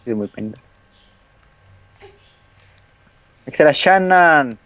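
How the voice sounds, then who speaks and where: A man's voice: on the high side, animated, takes it slowly, a bit bright, dry recording. A young man chatting playfully while playing a video game, teasing and laughing a lot. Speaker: gamer. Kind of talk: casual chat while gaming.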